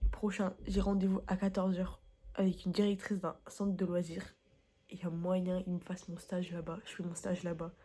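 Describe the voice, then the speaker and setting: A young woman chatting casually to the camera in a vlog, low-key and often murmuring half under her breath. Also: darkish tone. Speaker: vlogger.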